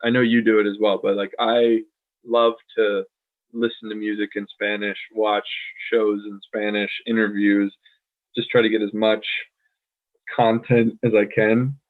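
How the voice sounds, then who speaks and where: A man from the United States co-hosting a casual chat, thoughtful and pausing often with "um". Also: lowish voice, flat delivery. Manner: thoughtful, many "um" fillers. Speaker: podcast co-host. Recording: tinny phone-like line.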